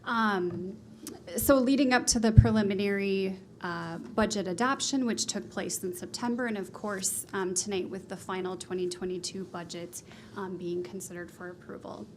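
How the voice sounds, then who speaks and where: A soft-spoken woman presenting budget figures to a city council, polished with occasional ums. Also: medium pitch, steady tone, normal speed. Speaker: finance consultant presenting. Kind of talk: formal presentation. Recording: noisy meeting room recording.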